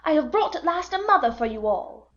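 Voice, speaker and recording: A woman performs a cocky boy leader from a storybook. The voice is extremely high and swings widely in pitch. The delivery is theatrical, bossy and exclamatory. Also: very animated, extremely slow, fairly bright tone, highs cut off, full character voice. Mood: triumphant. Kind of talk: audiobook character reading.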